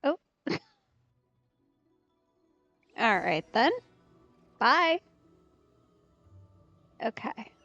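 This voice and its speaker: A woman gives casual game commentary in a highish, very animated voice. She talks at an unhurried pace, playfully thinking aloud, on a slightly dull microphone. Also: no room sound. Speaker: game streamer. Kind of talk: casual let's play commentary. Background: faint game music.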